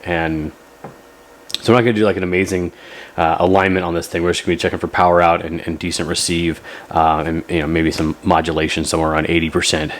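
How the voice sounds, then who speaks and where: A man's voice: low voice, flat delivery, quick pace. A man talking casually through a hands-on repair video in a rambling, folksy, laid-back way. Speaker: host of a radio repair video.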